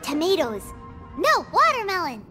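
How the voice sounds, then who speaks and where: A woman voicing a tiny, excitable fairy companion with a squeaky childlike voice in an anime game, exclaiming and asking questions. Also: normal speed, soft background music, heavily acted. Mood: curious, astonished. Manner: exclamatory, inquisitive.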